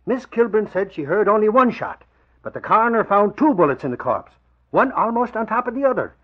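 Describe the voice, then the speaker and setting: An actor playing a folksy, excitable male reporter who talks in colloquial slang, in 1940s radio drama style. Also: high voice, brisk. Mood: eager reporting. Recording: dull old radio recording.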